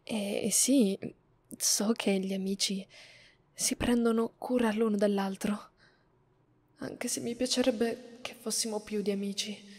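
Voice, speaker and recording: A woman acting a shy, flustered schoolgirl in love with a friend in an ASMR romance roleplay, soft, timid and hesitant. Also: mid-range voice, steady tone, measured pace, slightly rough, no room sound. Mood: shy, nervous.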